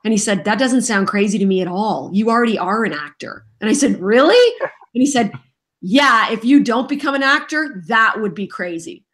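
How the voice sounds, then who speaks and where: Lively, self-mocking actress telling stories. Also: female voice, fairly high pitch, moderate pace. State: just getting over a cold. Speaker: voice actress guest. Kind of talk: casual interview chat.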